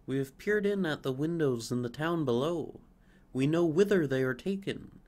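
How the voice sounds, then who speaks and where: A man voicing a flock of excited sparrows who boast of what they have seen, in a chirpy, eager manner. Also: a little high, normal speed, pitched above the performer's own voice, natural voice. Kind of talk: storybook reading.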